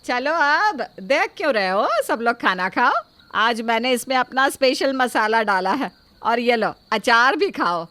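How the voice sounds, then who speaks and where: A woman's voice: a little high, expressive, measured pace, pushed and bright. A woman playing a proud, touchy middle-aged mother in a cartoon family comedy, with an insistent, boastful way of talking. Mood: bossy.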